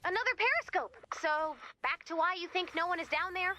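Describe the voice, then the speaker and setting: A very high, perky young-sounding explorer. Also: female voice, animated, fairly quick, pushed and bright, soft background music, heavily acted. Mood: impatient. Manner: eager, inquisitive. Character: perky, curious, focused female explorer. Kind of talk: video game voice acting.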